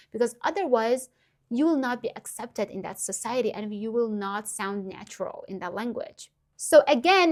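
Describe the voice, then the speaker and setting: A woman's voice: a little high, darkish tone. Upbeat young Iranian woman vlogger. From Iran. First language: Persian. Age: 20s. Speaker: language-learning vlogger. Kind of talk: direct-to-camera tutorial vlog.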